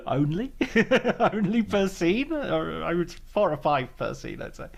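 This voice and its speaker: A man's voice: sits high, big pitch swings, takes it slowly, gravelly. A man hosting a podcast, chatting in a friendly way, laughing easily and thinking aloud. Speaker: podcast host. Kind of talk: casual interview chat.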